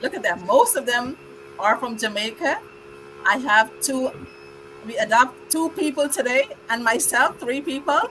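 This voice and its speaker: A woman's voice: on the high side, expressive, measured pace, fairly bright tone, slight background noise, low-quality recording. A middle-aged woman from Guyana hosting a live online show, welcoming guests and reciting a rhyming poem in an upbeat, rhythmic way. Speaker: host.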